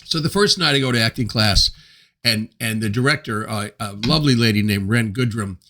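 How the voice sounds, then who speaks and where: An older man in his seventies speaks in a fairly low voice as he hosts his own reflective monologue. He talks slowly and thoughtfully, pausing often to find his words. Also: sharp tone. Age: 70s. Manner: unhurried, hesitant with frequent pauses and fillers. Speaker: host.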